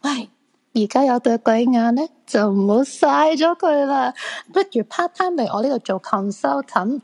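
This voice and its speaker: A woman's voice: high-pitched, expressive, moderate pace, creaky, a little mellow, exaggerated voice. A woman acting a cheeky, flirty fortune-teller in a Cantonese radio drama, teasing in colloquial Hong Kong speech. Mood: coaxing. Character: cheeky, flirty female fortune-teller friend, eager to see ghosts.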